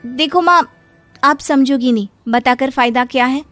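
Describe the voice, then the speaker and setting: A woman's voice: high voice, unhurried, fairly bright tone, faint music behind. A woman playing an earnest, meek young village daughter in a moral story. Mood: troubled, pleading. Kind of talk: Hindi moral-story acting.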